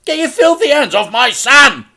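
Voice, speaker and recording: A man's voice: very high voice, sing-song, normal speed, gravelly, sharp tone, voice pushed up, exaggerated voice. A man voicing a desperate, protective father in a children's story, pleading in a strained, stammering way. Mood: frantic, protective. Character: desperate, pleading dad, protective of his son.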